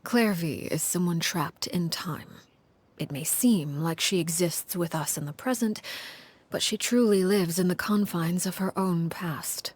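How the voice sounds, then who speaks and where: An actress playing a cold, commanding woman who rules an orphan household, speaking in a low, husky, hushed and measured way in a video game. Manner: calm, measured, menacing.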